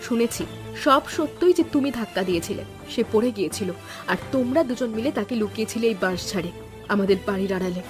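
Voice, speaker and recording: Young wife female voice actor, anguished and earnest, quiet-natured but firm, cartoon drama over a clear music bed. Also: on the high side, lively intonation, fairly quick, natural voice. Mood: defiant. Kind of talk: Bengali cartoon melodrama voice acting.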